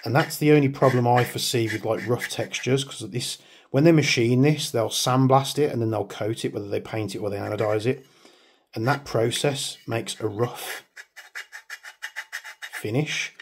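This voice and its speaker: A man's voice: mid-range voice, moderate pace, noisy background. A man rambling chattily through a hands-on product review, joking about his own waffling. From United Kingdom. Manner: rambling, chatty, self-deprecating. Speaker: airsoft video maker.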